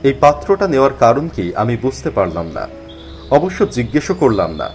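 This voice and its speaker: A man's voice: mid-range voice, sing-song, a little fast, soft background music. A man narrating a scripted mystery story in the first person.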